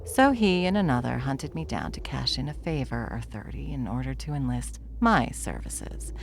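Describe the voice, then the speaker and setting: Woman playing a very deep, creaky, mocking veteran witch. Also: animated, slight background noise, exaggerated voice. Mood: wry, confident. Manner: dry, mocking, condescending, unhurried. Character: smug, world-weary veteran witch hunting a deathless sorceress. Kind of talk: fantasy audio roleplay acting.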